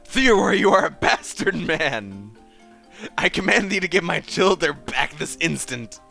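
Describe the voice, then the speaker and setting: Dude doing a dramatic little phone-head creature, high indignant voice, declaiming. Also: male voice, big pitch swings, a little slow, creaky, bright, forceful tone, faint music behind, full character voice. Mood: indignant, commanding.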